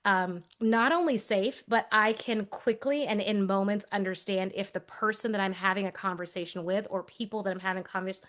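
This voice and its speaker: A woman's voice: medium pitch, normal speed, few pauses, a bit bright. A woman in her forties, raised in Texas, who speaks without a regional accent. She co-hosts a podcast and tells her own story in a thoughtful, earnest conversational way. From Texas, USA. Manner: earnest, thoughtful, with restarts and brief laughs. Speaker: podcast co-host.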